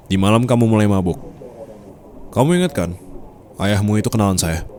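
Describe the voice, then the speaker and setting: Over faint background ambience, a low-pitched male boss acted out by a man speaks in an intimate ASMR roleplay. He is possessive and teasing, commanding yet forgiving. He talks close to the listener, coaxing and pressing with short prompting questions. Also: noisy background. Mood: probing, teasing.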